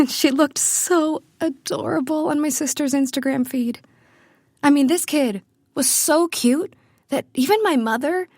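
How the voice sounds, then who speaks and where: A woman's voice: high voice, a little mellow, dry recording, clean studio sound. An actress playing a wistful, wry young woman far from her family, telling her story conversationally in an audio drama monologue. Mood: fond, amused. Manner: conversational, confiding.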